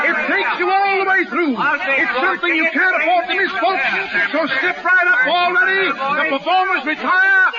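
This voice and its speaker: High-pitched, brash carnival barker. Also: male voice, very noisy. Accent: American. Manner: punchy, commanding, slangy sales patter. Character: brash, bossy carnival barker, male showman. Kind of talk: 1930s radio acting. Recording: dull, lo-fi 1930s radio recording.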